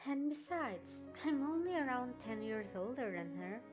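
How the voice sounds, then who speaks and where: Woman as friendly, higher-voiced cartoon pony. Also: expressive, moderate pace, highs cut off, over music, close to an everyday voice. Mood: modest, earnest. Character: friendly, modest adult female pony. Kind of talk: fan-made cartoon audio drama.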